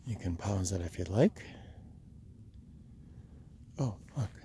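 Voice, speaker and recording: A man's voice: sits low, lively intonation, takes it slowly, warm tone. An American man from Rhode Island chatting casually to the viewer as he explores, thinking aloud in a wry, musing way. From Rhode Island, United States. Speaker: vlogger. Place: outdoors and inside small huts at a tourist site. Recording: outdoor ambient noise, handheld camera.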